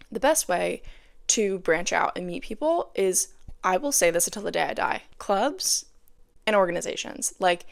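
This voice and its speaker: A young woman hosting her own podcast, talking casually and sounding tired, with a raspy voice and lots of "like" in her speech. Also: medium pitch, no room sound, studio quality. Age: young adult. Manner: chatty, peppered with "like". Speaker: podcast host. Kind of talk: casual solo podcast chat.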